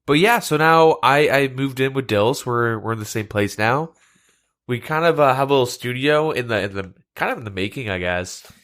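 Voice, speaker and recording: A man's voice: mid-range voice, moderate pace, fairly bright tone. A man co-hosting a podcast, talking in a laid-back way with a stuffed-up, nasal voice from a cold. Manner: laid-back, lots of fillers like "like". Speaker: podcast co-host. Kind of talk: casual chat. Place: shared room, two mics.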